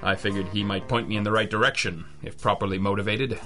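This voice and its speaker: A tough, wisecracking gumshoe in a radio mystery. His voice is forceful and a bit low, with sardonic, clipped delivery and music behind it. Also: level tone, quick pace. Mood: sardonic, businesslike. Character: hard-boiled, wisecracking male private eye. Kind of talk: old-school radio detective narration and dialogue. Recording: music under the voice.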